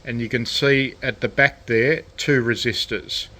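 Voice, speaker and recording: A man giving a casual, unscripted hands-on tutorial as a hobbyist, speaking hesitantly with frequent pauses. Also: medium pitch, fairly even intonation, pushed and bright. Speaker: hobbyist presenter of a DIY electronics video. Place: indoors at a cluttered radio workbench.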